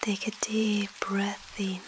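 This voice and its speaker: Very slow whispering woman with Russian accent. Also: medium pitch, noisy background. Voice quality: soft, breathy whisper. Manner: slow, soothing, long pauses between short phrases. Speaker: sleep hypnosis guide. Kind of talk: whispered ASMR sleep hypnosis. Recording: steady rain sound behind voice. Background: rain.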